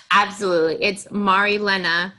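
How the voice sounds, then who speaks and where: A woman's voice: mid-range voice, steady tone, moderate pace, sharp tone. A woman from Greece, a podcast guest, answering interview questions with enthusiasm in long run-on turns. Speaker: podcast guest, attorney and author.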